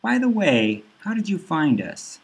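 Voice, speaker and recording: A man's voice: on the high side, very animated, measured pace, creaky, slightly rough, pitched above the performer's own voice, exaggerated voice. A man doing a cold menacing loan officer woman. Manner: cool, threatening, matter-of-fact.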